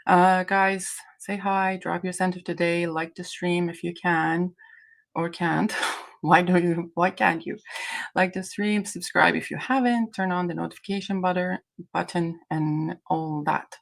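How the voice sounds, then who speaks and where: A woman's voice: lowish voice, fairly even intonation, measured pace, creaky. A woman from Iran whose native language is Azeri, hosting a casual livestream chat in a hesitant, rambling way. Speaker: livestream host.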